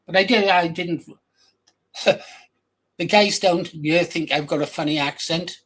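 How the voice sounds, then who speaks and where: Older Welsh-accented male host with a slightly high voice, blunt and rambling, off-the-cuff talk to camera. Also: slow, fairly bright tone, slightly rough. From England, raised in Wales from age ten. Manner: blunt, crude, rambling with restarts. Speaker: channel host. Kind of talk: casual rambling monologue.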